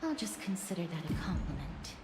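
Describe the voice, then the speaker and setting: Brief: a guarded, formal young death goddess, roomy game audio over loud music. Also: female voice, fairly low pitch, sing-song, fairly quick. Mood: earnest.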